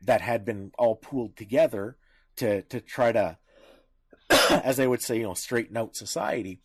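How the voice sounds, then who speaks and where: A man co-hosting a casual discussion, talking haltingly, often searching for words and rephrasing as he goes. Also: medium pitch, slightly rough. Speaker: podcast co-host.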